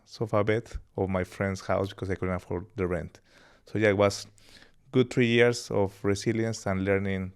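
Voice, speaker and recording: A man in his thirties from Colombia with a Colombian accent and Spanish as his first language, telling his life story as a podcast guest in a relaxed, rambling way. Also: lowish voice, smooth. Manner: relaxed, laughs easily, rambling anecdotes. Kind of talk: casual interview storytelling.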